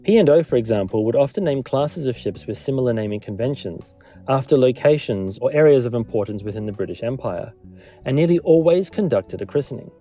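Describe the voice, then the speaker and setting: A man's voice: medium pitch, lively intonation, a little fast, mellow. A man presenting a scripted history documentary as a narrator and lecturer. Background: background music.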